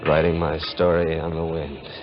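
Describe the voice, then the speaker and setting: A man's voice: very deep voice, level tone, moderate pace. An actor playing a doomed, weary American fugitive who tells his own hard-boiled story in a grim, terse 1940s radio style. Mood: haunted, grim. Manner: grim, terse noir delivery. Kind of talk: 1940s radio drama narration and acting. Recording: noisy muffled 1940s radio broadcast.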